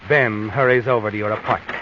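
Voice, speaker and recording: An actor playing an omniscient, sinister narrator of a 1940s radio mystery, insinuating and knowing, with dramatic pauses. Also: male voice, on the low side, fairly even intonation, background noise, close to an everyday voice. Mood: sly, ominous. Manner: insinuating second-person taunting, dramatic pauses. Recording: muffled vintage radio recording.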